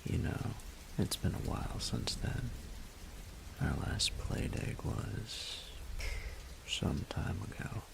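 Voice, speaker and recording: A man playing a smug, teasing young bully in an intimate audio roleplay, with a breathy, whispery, gravelly voice and a slow, taunting drawl. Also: fairly low pitch, expressive, background noise, full character voice.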